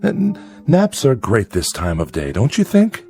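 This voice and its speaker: A farm father in a radio drama who is afraid and trying to sound jolly. His folksy talk halts and placates, and his pitch leaps around dramatically. Music plays softly behind him. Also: wildly swooping pitch, measured pace, vocal fry, exaggerated voice. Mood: weary, evasive. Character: nervous farmer father, falsely jovial, afraid of his son.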